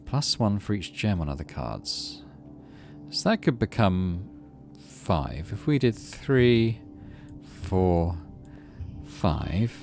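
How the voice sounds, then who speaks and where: A man's voice: deep voice, big pitch swings, takes it slowly, creaky, a little mellow, soft background music. A middle-aged man giving casual let's play commentary and story narration, thinking aloud as he goes. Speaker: gaming video host.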